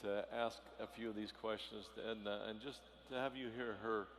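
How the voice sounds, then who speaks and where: Guy moderating onstage, kinda low voice, stumbles over words, echoey hall. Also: steady tone, a bit bright. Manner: halting, restarts often. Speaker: interviewer, moderator. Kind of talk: unscripted on-stage interview. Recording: echoey hall, faint noise.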